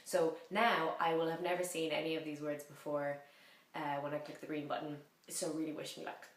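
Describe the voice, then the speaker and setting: Woman vlogger, low voice, rambling and playful, roomy echo on the recording. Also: little pitch movement, brisk. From half French, French mother. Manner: rambling, self-correcting, chatty and playful. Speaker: vlogger learning a language. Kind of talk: casual chatty vlog.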